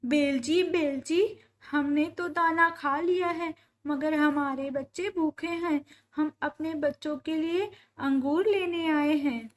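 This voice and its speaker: A greedy, sly crow wife from a children's moral cartoon, put on by a woman. She pleads and wheedles in a very high voice, pitched above normal talk. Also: steady tone, slow pace. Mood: wheedling.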